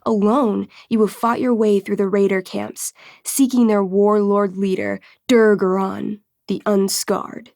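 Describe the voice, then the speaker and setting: A woman's voice: mid-range voice, flat delivery, measured pace, darkish tone, dry recording, clean studio sound, natural voice. An actress playing an enthusiastic young woman game master in a video game, moving between theatrical storytelling and casual, wry asides. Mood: dramatic, suspenseful. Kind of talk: video game dialogue, dramatic tabletop narration.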